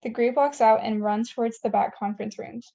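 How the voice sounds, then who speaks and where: A woman's voice: on the high side, steady tone, fairly quick, vocal fry, dark tone, lo-fi sound. A woman reading scripted stage directions at a table read, plainly and with the odd stumble.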